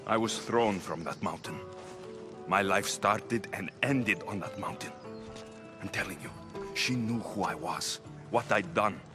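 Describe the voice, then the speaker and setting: A man's voice: on the low side, measured pace, natural voice. An actor playing a determined young male mercenary with a blunt, dry, stubborn manner. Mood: troubled. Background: game score music.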